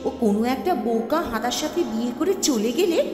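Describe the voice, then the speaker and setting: A woman's voice: fairly high pitch, expressive, moderate pace, natural voice. A woman voicing a jealous, spoiled young village sister in a cartoon story, gossipy, snide and whiny, in an echoey recording. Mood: scornful. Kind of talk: Bengali cartoon story acting. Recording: echoey hall reverb, clear background music.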